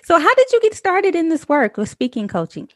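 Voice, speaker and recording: A woman hosting a casual interview over a video call, upbeat and quick to laugh. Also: very high voice, big pitch swings, dry recording. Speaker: host.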